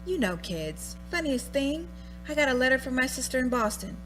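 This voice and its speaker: A woman's voice: high voice, very animated, moderate pace, fairly bright tone, soft background music. A woman playing a chatty, gossipy housewife friend on a telephone call in a radio play. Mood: breezy, amused. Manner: breezy, gossipy, unconcerned.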